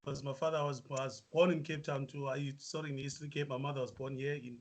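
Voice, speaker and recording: A South African musician answering interview questions, hesitant with many fillers. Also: male voice, mid-range voice, flat delivery, fairly quick. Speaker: musician guest.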